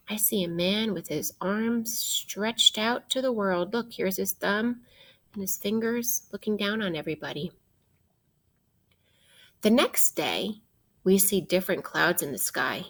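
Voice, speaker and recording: A woman teacher reading a children's science book aloud in a deliberate way, putting questions directly to young listeners. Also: mid-range voice, fairly bright tone.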